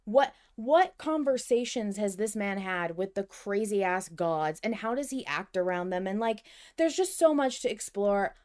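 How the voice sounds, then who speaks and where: A woman co-hosting a fan discussion podcast, chatting casually in an emphatic, expressive, sweary way. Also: mid-range voice, a little slow. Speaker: podcast co-host.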